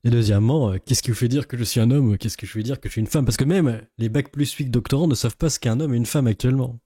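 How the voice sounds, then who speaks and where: A man's voice: mid-range voice, fast, dark tone, husky, no echo, studio quality. A man giving casual reaction commentary as a YouTuber, in a sarcastic, sceptical and rambling way.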